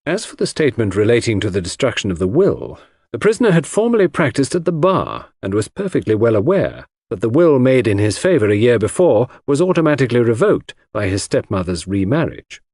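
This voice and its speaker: Male narrator for classic mystery audiobook: formal, lively intonation, husky tone, dry close sound. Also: mid-range voice, a little fast, few pauses, no echo. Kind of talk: scripted narration of classic crime fiction.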